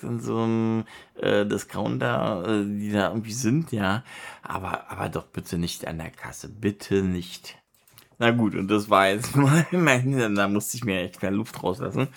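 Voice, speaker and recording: A man from Berlin chatting casually in colloquial German, rambling and halting as he searches for words and gives his opinions. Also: medium pitch, gravelly. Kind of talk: casual monologue, personal chat.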